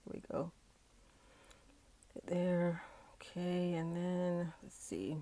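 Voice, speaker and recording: A woman with a cold, her voice hoarse and froggy, casually talking herself through decorating a planner page, with plenty of okays and uhs as she thinks aloud. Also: fairly low pitch, flat delivery, drawn-out words, warm tone. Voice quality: raspy, froggy voice. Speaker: digital planner video creator. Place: indoors at a tabletop, hands working an overhead-filmed tablet.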